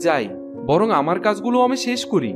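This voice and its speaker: Stingy cartoon husband: high, swooping voice, whiny and stubborn, over music. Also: male voice, big pitch swings, a little fast. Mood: smug, relieved. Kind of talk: Bengali cartoon voice acting.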